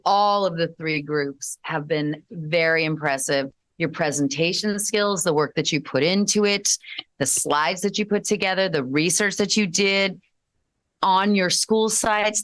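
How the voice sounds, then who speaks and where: A woman's voice: lowish voice, no room sound. A woman board member giving encouraging, formal remarks to student presenters. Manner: warm, encouraging.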